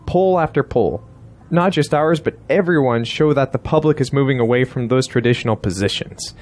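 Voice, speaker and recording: A man's voice: medium pitch, normal speed, darkish tone, close to an everyday voice. A man playing a blunt, persuasive political strategist in an audio drama, insistent and lecturing.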